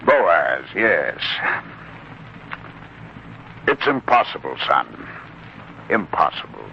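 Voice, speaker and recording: An actor playing a proud, loyal, warm-hearted blacksmith father in a theatrical 1940s radio drama style. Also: a bass voice, very animated, extremely slow, creaky, full character voice. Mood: proud, firm. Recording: muffled, noisy 1940s radio recording.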